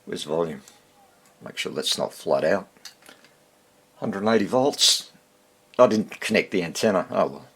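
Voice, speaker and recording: A man giving casual, unscripted hands-on repair commentary, thinking aloud in short fragments with a husky, gravelly voice. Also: low-pitched, lively intonation, a little slow, long pauses, fairly bright tone. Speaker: hobbyist radio repairer demonstrating on video.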